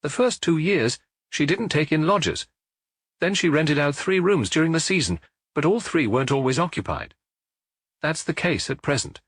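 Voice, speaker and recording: A man reading an audiobook, voicing a middle-aged male police officer who gives his report in a measured, matter-of-fact way. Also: on the high side, lively intonation, fairly bright tone, no echo, pitched above the performer's own voice. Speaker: audiobook reader.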